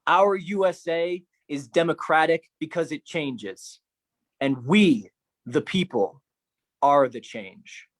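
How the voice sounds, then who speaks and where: A man performing a theatrical spoken manifesto, declamatory and solemn, with deliberate phrasing. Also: fairly high pitch. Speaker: solo performer.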